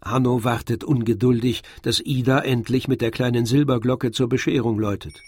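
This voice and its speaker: A man's voice: mid-range voice, steady tone, moderate pace, darkish tone, slight background noise. Male narrator of a literary radio drama. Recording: faint music behind the narration. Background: faint music.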